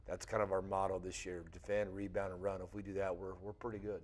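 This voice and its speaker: A man's voice: sits low, little pitch movement, quick pace, a little mellow. An American man, a coach, giving a plain-spoken casual interview. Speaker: basketball coach.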